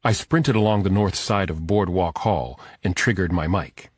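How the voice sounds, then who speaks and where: A man's voice: low-pitched, moderate pace, breathy edge, dry recording, clean studio sound. A man reading scripted first-person thriller narration as an audiobook narrator.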